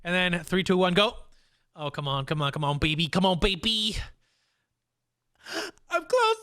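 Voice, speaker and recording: A man hosting a casual podcast, talking excitably and repeating phrases for emphasis. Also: high-pitched, moderate pace, smooth, dry recording, studio quality. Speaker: podcast host.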